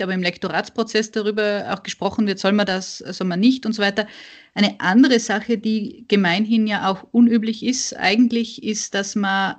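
A woman hosting a literary interview, framing her questions carefully with a few hesitations. She listens attentively and backs the guest up with quiet sounds of agreement. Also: mid-range voice. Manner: measured, supportive listener with frequent backchannels.

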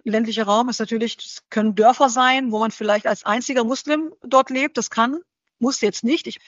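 A woman giving careful, conversational answers as an interview guest. She talks in a measured way, often searching for her words. The recording is dry and slightly muffled. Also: a little high, a bit bright, dry recording.

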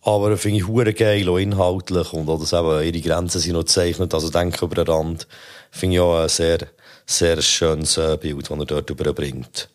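A man with a Swiss German accent hosting a podcast, reviewing rap performances in a relaxed, critical way with many fillers. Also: low-pitched, normal speed. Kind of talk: casual spoken review.

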